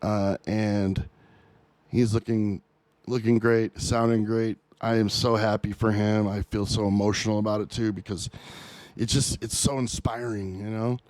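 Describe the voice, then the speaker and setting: A man in his forties hosting a music reaction video, talking off the cuff in an earnest, halting way with many fillers and restarts. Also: lowish voice, flat delivery, a little mellow, dry recording. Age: 40s. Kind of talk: unscripted opinion monologue.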